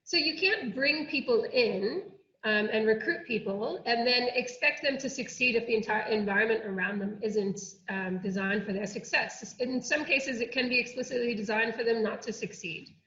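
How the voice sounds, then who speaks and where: Higher-voiced American woman in an echoey room. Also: moderate pace, fairly bright tone, slight background noise. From United States. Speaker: academic oceanographer presenting a programme. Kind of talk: online workshop presentation. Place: indoor room, online workshop. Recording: muffled, roomy online-call audio.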